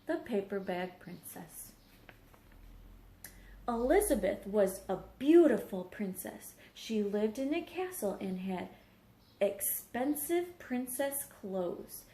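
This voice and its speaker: Slow-paced woman reading to young children. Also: mid-range voice, animated, warm tone. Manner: simple explanations, checks in with young listeners. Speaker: teacher reading to young pupils. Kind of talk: read-aloud storytelling with questions to children. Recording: roomy, echoing home recording.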